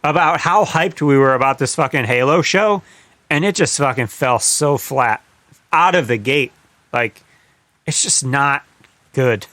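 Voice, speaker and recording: A man's voice: mid-range voice, unhurried, a bit bright, no room sound. A man co-hosting a podcast in casual conversation, speaking bluntly and swearing freely. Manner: blunt, profane. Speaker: podcast co-host. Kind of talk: casual chat.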